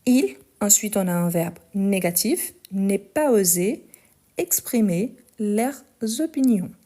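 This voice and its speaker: A woman leads a live French conjugation lesson, reading each practice sentence aloud at a very slow, deliberate pace. Her voice sits in the middle of the range with a mellow, warm tone, and she explains each rule patiently. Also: mid-range voice, animated. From Portugal. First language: Portuguese. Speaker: French teacher.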